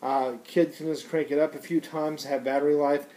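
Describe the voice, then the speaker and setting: A man's voice: a little high, flat delivery, moderate pace, noisy background. A man giving a casual, unscripted product review in a rambling, conversational, slangy way.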